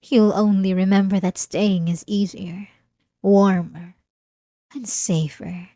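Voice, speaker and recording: A woman playing an obsessive, possessive, centuries-old vampire in an ASMR roleplay, speaking intimately and deliberately with dramatic pauses. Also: mid-range voice, steady tone, dark tone, no room sound, studio quality, lightly acted. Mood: menacing, controlled.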